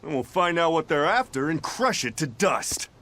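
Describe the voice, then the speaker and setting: An actor playing a brash male spirit and brawler in a game, talking in a rough, swaggering way. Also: on the high side, sing-song, normal speed, bright, forceful tone.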